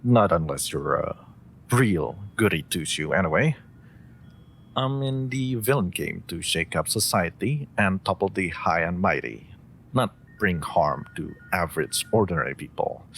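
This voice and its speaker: Man playing a deep, courteous supervillain. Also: very animated, creaky, heavily acted. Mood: proud, disdainful. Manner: courteous, measured, hesitant asides, faintly menacing. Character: polite, theatrical male supervillain interviewing a job applicant. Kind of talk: scripted audio roleplay addressed to the listener. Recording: faint background ambience and rumble.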